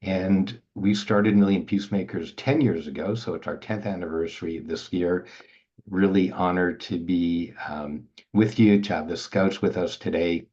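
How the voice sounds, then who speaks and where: A man's voice: deep voice, steady tone, normal speed, darkish tone. An older man from Montreal co-hosting a webinar over a video call, chatting loosely and greeting people by name. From Montreal, Canada. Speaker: organization founder and webinar co-host. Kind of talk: casual webinar chat. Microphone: video call laptop mic. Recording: dull, lo-fi video call audio.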